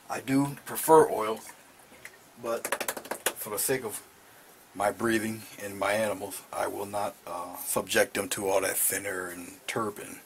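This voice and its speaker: Low-voiced man murmuring through a painting lesson. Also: slight background noise. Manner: relaxed, talks while he paints, drops to low murmurs over the brush work. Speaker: painting instructor. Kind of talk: casual painting demonstration. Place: small home studio, indoors.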